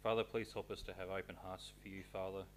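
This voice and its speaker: A man's voice: low voice, level tone, quick pace. A young man leading a spoken prayer in church. He speaks earnestly and steadily and keeps repeating "Father". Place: small church hall, at a lectern. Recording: distant mic with background noise.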